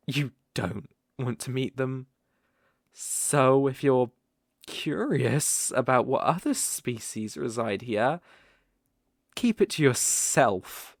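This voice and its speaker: A man voicing a coaxing, possessive naga monster in a roleplay ASMR audio drama, with a creaky, teasing delivery. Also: medium pitch, no echo, studio quality, exaggerated voice. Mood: possessive, warning. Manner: slow, coaxing, teasing with soft chuckles. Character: smooth-talking male naga monster, coaxing, possessive predator.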